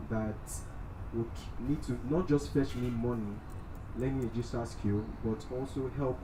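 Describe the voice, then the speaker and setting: A young Nigerian man giving a motivational talk to a live audience, often checking in with short tag questions as he goes. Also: mid-range voice. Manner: frequently checks in with "right?". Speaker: guest speaker. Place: event space in a mall. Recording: echoey, noisy venue, distant pickup.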